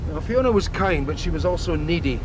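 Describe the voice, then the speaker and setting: An earnest doctor in a radio drama, acted by a man. He explains himself in a measured manner with a fairly high voice, over clear car noise. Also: lively intonation, close to an everyday voice. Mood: reflective. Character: earnest, soft-soaping male doctor and hypnotherapist.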